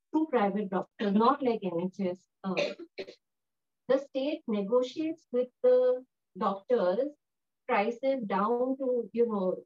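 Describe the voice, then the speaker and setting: A woman speaking on a panel in a lecture room, talking in a thoughtful, measured way with frequent fillers. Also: fairly high pitch. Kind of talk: panel discussion remarks. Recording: dull lo-fi room recording.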